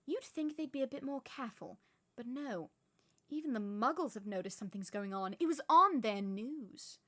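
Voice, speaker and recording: A woman's voice: on the high side, animated, moderate pace, a bit bright. A woman voicing a stern, middle-aged female professor who talks in a clipped, impatient way. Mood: irritable.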